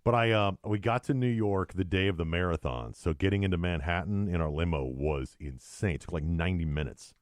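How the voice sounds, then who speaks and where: A middle-aged man co-hosting a podcast in casual, joking, sarcastic chat, telling stories with animated delivery. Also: sits low, a little fast, hardly any pauses, dry recording, clean studio sound. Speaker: podcast co-host.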